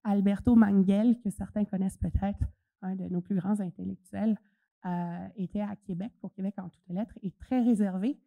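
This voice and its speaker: Dark-voiced woman giving a chatty talk. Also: medium pitch, fairly even intonation, normal speed, creaky, dry recording. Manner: chatty, hesitant with many fillers. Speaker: conference speaker. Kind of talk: presentation talk.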